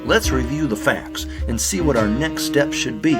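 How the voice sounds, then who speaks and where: A man's voice: mid-range voice, normal speed, over loud music, close to an everyday voice. A man acting an earnest, folksy raccoon sheriff detective in a children's audio drama. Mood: curious, businesslike. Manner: animated, folksy, wordplay-loving.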